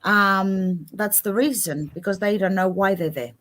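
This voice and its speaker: A woman's voice: mid-range voice, measured pace, fairly bright tone. Middle-aged aussie woman on a chat show, sympathetic and emphatic, medium voice. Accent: Australian. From Australia. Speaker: guest. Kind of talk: casual chat.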